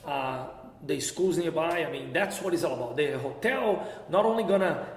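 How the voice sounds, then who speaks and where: A middle-aged man with a Brazilian accent talks as an interview guest in a reverberant, echoing space. His voice sits a little high, and he tends to repeat himself and run through lists as he explains. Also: lively intonation, normal speed. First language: Portuguese. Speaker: interview guest, golf center business owner. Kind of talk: conversational interview answers. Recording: echoey, reverberant room.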